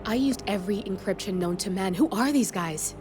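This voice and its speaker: A woman's voice: medium pitch, fairly quick, close to an everyday voice. A woman playing a blunt, sardonic, streetwise hacker in a cinematic video game. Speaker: hacker ally. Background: music.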